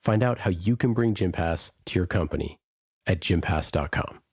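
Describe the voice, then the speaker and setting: A man's voice: sits low, normal speed. A man reading a scripted podcast sponsor spot as the announcer, over guitar music.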